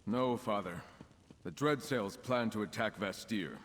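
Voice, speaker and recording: Young noble knight, lowish voice, earnest, formal, resolute, fantasy game dialogue, long hall reverb. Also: male voice, a little slow, fairly bright tone, slight background noise. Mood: urgent. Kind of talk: video game quest dialogue.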